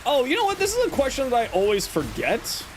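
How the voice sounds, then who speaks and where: A man's voice: very high voice, huge pitch swings, quick pace, background noise. A man streaming a game and chatting with viewers, self-deprecating and rambling, who is losing his voice. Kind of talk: casual live-stream chat answering viewers.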